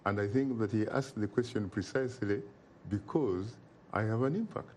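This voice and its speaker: A man speaks as an interview guest in a warm, mellow voice. He is emphatic and oratorical and drives his points home through repetition. Faint noise sits under the recording. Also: on the low side, normal speed. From Uganda. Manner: emphatic and oratorical, repeats phrases for effect. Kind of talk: impassioned interview talk.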